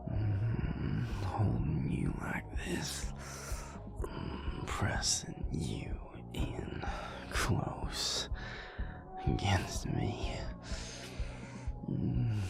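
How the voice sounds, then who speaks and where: A man playing an amorous, teasing vampire lover in an intimate romantic audio roleplay, murmuring slowly in a breathy, gravelly whisper with soft hums. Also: on the low side, very animated, music in the background, full character voice. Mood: smitten, teasing. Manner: slow, murmuring, lingering over words with soft hums.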